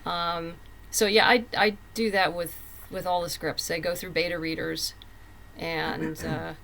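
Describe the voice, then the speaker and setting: A woman's voice: fairly low pitch, level tone, unhurried, gravelly, fairly bright tone, slight background noise. A woman speaking as a writer guest in a casual interview, candid, emphatic and plain-spoken.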